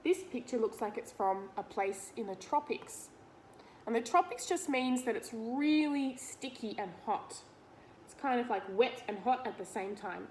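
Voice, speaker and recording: A woman's voice: a little high, darkish tone, slight background noise, poor audio. A woman reading a picture book aloud to children, explaining it simply and putting questions to the listener. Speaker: read-aloud teacher.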